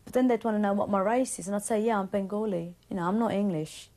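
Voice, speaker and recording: A woman's voice: medium pitch, quick pace, mellow. A young woman with a Cockney accent, talking casually in an interview and filling her speech with "you know" and "like.". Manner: chatty, many fillers like "you know" and "like". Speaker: band member interviewee.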